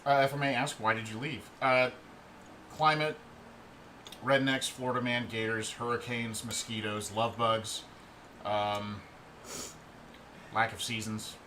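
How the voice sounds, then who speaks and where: A man in his thirties hosting a livestream in a relaxed, rambling way, chatting and reading out viewer comments. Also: medium pitch, measured pace, pauses often. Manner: relaxed, rambling, answers chat as he goes. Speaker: livestream host. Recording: steady background noise.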